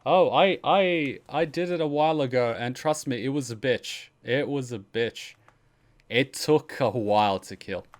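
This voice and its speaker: A man's voice: medium pitch, unhurried. An enthusiastic man hosts a reaction stream in a mid-range voice. He chats informally about what he is watching and swears casually as he goes. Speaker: host of a reaction livestream. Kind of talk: casual commentary and chat.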